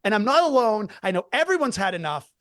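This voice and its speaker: A man's voice: high voice, big pitch swings, quick pace, fairly bright tone, no room sound, studio quality. A man co-hosting a comedy podcast, telling a rambling story with many restarts and repeated words. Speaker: podcast co-host. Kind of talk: casual comedic chat.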